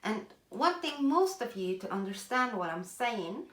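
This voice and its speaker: Slow-talking Norwegian woman, echoey room. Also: on the high side, sing-song, fairly bright tone. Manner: chatty, self-deprecating, laughs often, searches for words. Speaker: craft video host. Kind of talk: casual tutorial talk while working.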